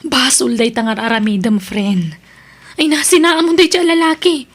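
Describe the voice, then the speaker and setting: A disapproving Filipino best friend in an audio drama. Her voice is very high, and she speaks bluntly, admonishing with exasperation. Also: expressive, moderate pace. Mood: scolding.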